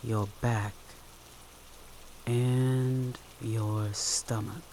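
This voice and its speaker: A soothing meditation guide with a mid-pitched voice speaks extremely slowly. Short, gentle instructions are separated by long pauses. Soft rain falls steadily behind the voice. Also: fairly even intonation, a little hiss or hum.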